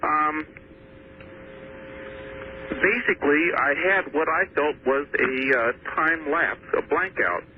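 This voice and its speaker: A fairly high-pitched man phones in to a talk show. He tells a personal account earnestly and haltingly. The line is tinny and narrow with slight noise. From Washington state, US. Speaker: phone-in caller. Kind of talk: personal account told on air. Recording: thin, noisy phone line to radio.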